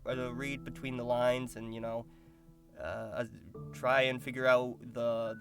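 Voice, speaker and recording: A man giving casual interview answers as a voice actor, thoughtful and pausing to find his words. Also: medium pitch.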